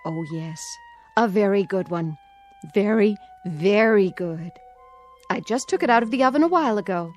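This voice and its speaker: An actress playing an anxious, doting aunt in a radio drama, sweetly coaxing and nervously repeating herself. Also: female voice, medium pitch, animated, normal speed, darkish tone, soft background music, natural voice. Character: anxious, doting aunt placating a feared nephew.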